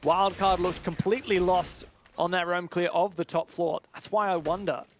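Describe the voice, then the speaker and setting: A man doing live play-by-play esports commentary with a dramatic delivery that builds excitement around the action. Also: high voice, normal speed, slight background noise.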